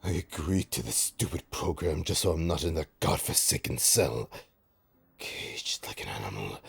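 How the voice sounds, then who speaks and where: A man playing a bitter, taunting supervillain in an audio roleplay, with a raspy, gravelly voice and a sardonic, theatrical, halting delivery. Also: extremely low pitch, very animated, exaggerated voice. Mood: bitter, defensive. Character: menacing, taunting male supervillain, bitter, grudgingly rehabilitating. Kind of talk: scripted audio roleplay drama.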